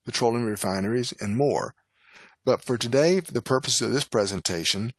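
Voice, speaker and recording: A man narrating a scripted medical lecture as an instructor, in a formal, measured, instructional manner. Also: medium pitch, no room sound. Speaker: lecturer, nurse and poison specialist.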